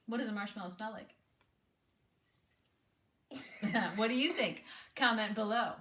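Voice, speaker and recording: A woman with a bright voice reads a children's story aloud in an instructive, expressive way. She breaks off to explain and prompt with questions. The room is echoey, with faint noise behind her. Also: mid-range voice, a little slow. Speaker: speech therapist reading aloud to a child. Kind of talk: read-aloud storytelling with teaching asides.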